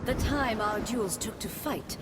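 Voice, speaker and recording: An actress playing a regal, solemn immortal female warrior ruler in a video game, speaking in a measured, formal and grandly stern way. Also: a little high, very noisy, lightly acted. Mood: solemn, stately. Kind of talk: dramatic video game voice acting. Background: background music.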